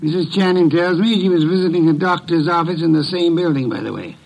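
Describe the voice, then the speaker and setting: An actor playing a pompous, fussy, irritable detective genius in a classic radio drama, speaking with formal, disdainful diction. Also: male voice, a little high, fairly quick, gravelly, rough recording. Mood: imperious.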